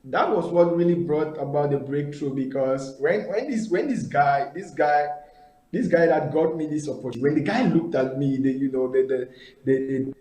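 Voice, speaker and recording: A man in his thirties, a guest answering interview questions over a video call, halting with many repeated words and fillers. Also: a little high, dark tone. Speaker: guest, company founder. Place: indoor room, video call. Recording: echoey room on a video call.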